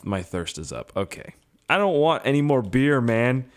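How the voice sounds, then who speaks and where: A young man with a hoarse, strained voice giving casual, profane, self-mocking commentary while playing a game. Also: mid-range voice, big pitch swings, normal speed. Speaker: gaming YouTuber. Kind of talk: casual let's-play commentary.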